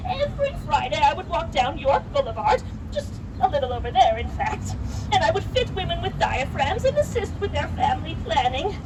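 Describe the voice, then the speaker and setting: A woman's voice: very high-pitched, very animated, brisk, hardly any pauses. A woman playing an elderly, wry and proud lady doctor in a theatrical puppet-show monologue, chatting to the audience with rhetorical asides. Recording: distant mic, loud outdoor street noise.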